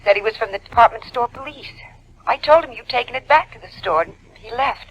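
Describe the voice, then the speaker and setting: Breathy, anxious young woman. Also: on the high side, expressive, fairly quick. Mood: alarmed. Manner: hesitant, pleading. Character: anxious, soft-hearted young woman in trouble. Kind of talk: 1950s radio drama acting. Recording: muffled vintage radio recording.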